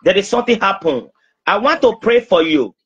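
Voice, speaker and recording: A young man speaking as a prophet praying over someone on a video call, insistent, halting and repeating himself. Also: high voice, very animated, fairly bright tone, slightly rough, muffled recording. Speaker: preacher, self-styled prophet. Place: small indoor room. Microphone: phone at arm's length on video call.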